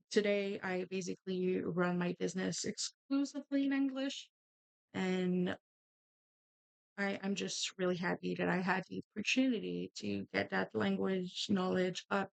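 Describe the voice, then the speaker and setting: A woman's voice: fairly low pitch, measured pace, vocal fry, no room sound. A young woman from Quebec with a Quebec French accent, a native French speaker chatting casually and rambling with many restarts while she crafts. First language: Canadian French. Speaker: crafting channel host.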